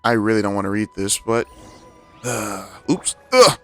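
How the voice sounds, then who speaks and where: A man's voice: fairly low pitch, expressive, a little slow. A man streaming a game, giving casual, laid-back commentary and reading on-screen text aloud with mumbled asides. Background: faint game music.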